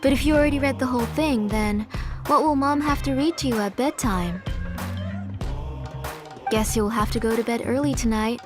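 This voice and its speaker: A woman voicing a gentle, caring mother in a video game, coaxing and kindly in manner. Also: on the high side, moderate pace, long pauses, lightly acted. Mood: affectionate, teasing. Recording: game music behind. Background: game music.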